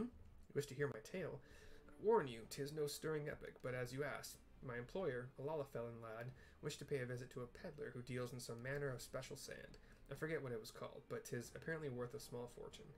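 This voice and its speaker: A man's voice: mid-range voice, lightly acted. World-weary female spear-for-hire, man reading, dry and blunt. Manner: dry, world-weary storytelling, archaic phrasing.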